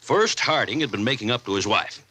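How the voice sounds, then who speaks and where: A blustery, gullible small-town sheriff in a 1940s radio mystery. His voice is bright and forceful, and his pitch swings eagerly with an emphatic, folksy delivery. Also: lively intonation, fairly quick, slight background noise. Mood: eager, confident. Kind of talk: 1940s radio detective drama acting.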